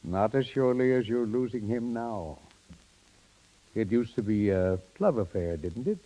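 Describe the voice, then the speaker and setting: A man's voice: fairly low pitch, animated, unhurried, mellow. An actor playing an earnest, plain-spoken, concerned middle-aged father in a 1930s radio drama. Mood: grave, concerned. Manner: earnest, frank, probing. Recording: dull vintage 1930s radio recording.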